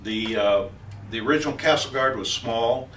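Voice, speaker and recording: A man giving an informal technical training explanation as a company trainer, speaking haltingly with frequent hesitations. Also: mid-range voice, a bit bright. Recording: roomy echo, distant mic, faint noise.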